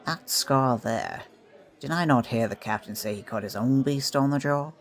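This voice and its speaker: A man's voice: medium pitch, moderate pace, lightly acted. A man playing a curious, easily indignant pirate companion in an audio play, asking questions in old seafaring phrases.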